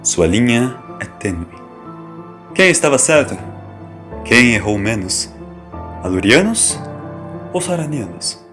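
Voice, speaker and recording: A man acting as the solemn narrator of a science-fiction audio drama, measured and portentous. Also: medium pitch, sing-song. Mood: grave, questioning. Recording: voice over background music. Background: music.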